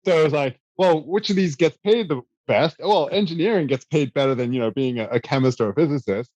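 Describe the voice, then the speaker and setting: Relaxed man telling stories, dry room. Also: on the high side, brisk, no echo, muffled recording. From South Africa. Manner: relaxed, self-deprecating storyteller with many fillers. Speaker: guest. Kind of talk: casual interview answers.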